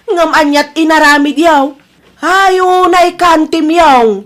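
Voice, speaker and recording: Babaeng gumaganap na nanay na Ilokana, napakatinis ang boses. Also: female voice, high-pitched, slow pace. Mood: ashamed, reproachful. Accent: Ilocano, northern Philippines. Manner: reproachful, lamenting. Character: disappointed, nagging mother of the village captain. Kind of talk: Ilocano audio drama acting.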